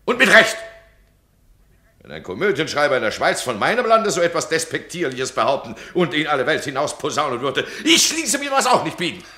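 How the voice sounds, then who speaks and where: An actor playing a domineering duke who scolds his subject in a radio play, his voice swinging between cold and loud. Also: on the high side, big pitch swings, fairly quick. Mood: imperious. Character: domineering stern duke, absolute ruler. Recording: roomy radio drama studio.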